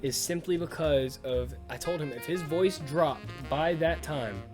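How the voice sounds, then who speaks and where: Young adult man, higher-pitched voice, informal slangy podcast host, prominent music in the background. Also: unhurried. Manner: informal, slangy, swears freely. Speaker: podcast host and audio fiction writer. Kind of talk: casual podcast banter. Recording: music behind the voice.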